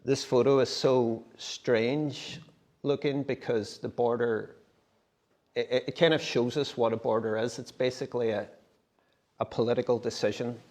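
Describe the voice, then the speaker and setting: A man's voice: mid-range voice, fairly even intonation, moderate pace, darkish tone. A man, a writer giving a conversational talk at a symposium. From Derry, Northern Ireland. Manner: conversational, explanatory, occasional restarts. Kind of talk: casual presentation. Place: symposium talk in a gallery space.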